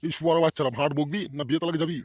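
A man's voice: on the high side, little pitch movement, rapid-fire, no echo, close to an everyday voice. A man voicing a bossy, scolding, foul-mouthed older male character in a slapstick comedy cartoon. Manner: scolding, blustering, swearing.

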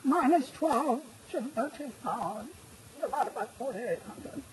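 Woman acting tiny very old person, high-pitched, dragging pace, noisy home recording. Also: lively intonation, slow. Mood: slow, aged. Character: very old little person, slow and quavering.

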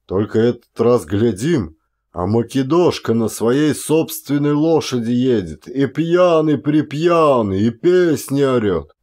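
A man's voice: mid-range voice, expressive, slow pace, a little mellow. A man voicing a garrulous, indignant Siberian peasant in an audiobook, with folksy, exclamatory, rambling talk. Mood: excited gossiping. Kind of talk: one-actor audiobook character acting.

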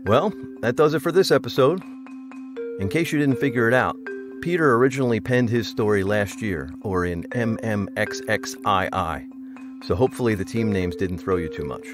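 A man's voice: fairly low pitch, normal speed. A man hosting a podcast, reading a scripted sign-off to his listeners. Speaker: podcast host. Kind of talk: scripted podcast outro narration. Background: marimba music bed.

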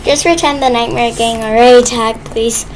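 A woman's voice: on the high side, fairly even intonation, normal speed. A woman chatting casually while playing a video game. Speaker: gamer playing a role-play game. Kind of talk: casual gameplay chat. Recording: faint background noise.

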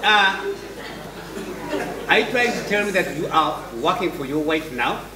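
A man's voice: sits high, animated, a little slow, a crowd in the background. A middle-aged man with a Ugandan accent playing a sceptical, questioning marriage counsellor in a stage comedy. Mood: incredulous. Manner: probing, incredulous. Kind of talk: live stage comedy acting. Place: theatre stage. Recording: echoey far stage, loud audience.

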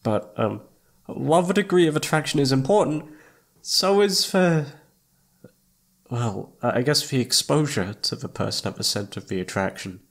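A man playing a shy, flustered young incubus with a husky, slightly creaky voice, stammering and hesitant in a romantic ASMR audio roleplay. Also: mid-range voice, lively intonation, moderate pace. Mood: nervous, evasive. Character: shy, flustered young male incubus roommate confessing his crush.

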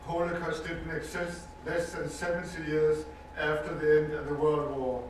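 An older man from Denmark with a Danish accent gives earnest, measured personal testimony as a survivor speaking to an audience, stressing points by repeating words. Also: on the high side, level tone, slight background noise. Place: university lecture hall with audience. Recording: echoey hall recording from far off.

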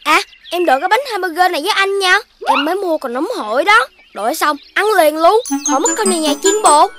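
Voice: extremely high pitch, lively intonation, a little fast, a bit bright, soft background music. A performer voicing an earnest, headstrong little child character in a children's cartoon, in an emphatic childlike manner. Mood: friendly persuasion. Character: earnest, headstrong little puppy child named Đốm and friends.

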